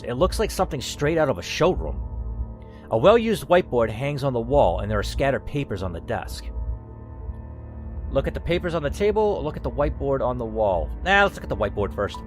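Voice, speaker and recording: A man reads a choose-your-path story aloud in first person and talks through his choices between passages. His pitch swings freely, and faint music plays underneath. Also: sing-song, a little fast. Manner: reads in first person, then breaks off to think aloud and curse. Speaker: player reading a story game aloud. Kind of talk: reading narration with playthrough commentary.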